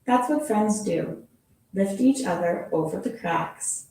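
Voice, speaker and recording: Brief: woman teacher reading picture books to kids, lively sing-song delivery, mellow tone, very echoey room, mic far off. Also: medium pitch, takes it slowly. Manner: expressive, playful storytelling with sound effects. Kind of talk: children's picture-book read-aloud.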